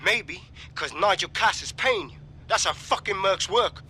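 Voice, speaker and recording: Very high, jumpy British fugitive. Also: male voice, unhurried, bright, forceful tone, husky, heavily acted. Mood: scornful. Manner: agitated, sweary, confrontational. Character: jumpy, suspicious, foul-mouthed male fugitive tech genius. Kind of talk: video game cutscene acting.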